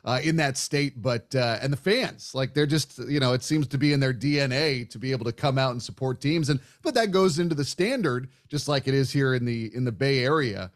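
A man's voice: medium pitch, a little fast, hardly any pauses. A man hosting a sports podcast, talking in a chatty, discursive way like a casual broadcaster in conversation. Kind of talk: casual sports interview talk.

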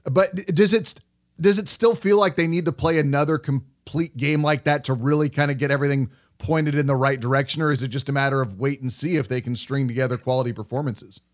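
A man's voice: mid-range voice, fairly even intonation, fairly quick. A man hosting a sports radio interview show. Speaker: podcast host.

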